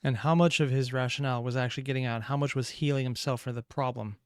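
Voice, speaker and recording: A man's voice: medium pitch, fairly even intonation, quick pace, clean tone, no room sound, clean studio sound. A man giving off-the-cuff analytical commentary as a reaction video host, musing and thinking aloud.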